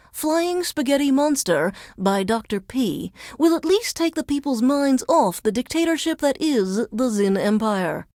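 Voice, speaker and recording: Deadpan lady radio dj for a video game, sardonic n formal, highish voice, super dry booth sound. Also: dry recording. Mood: dry, composed. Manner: deadpan, measured, formal announcer delivery. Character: dry, sardonic female radio DJ under alien occupation. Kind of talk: scripted radio host announcing songs.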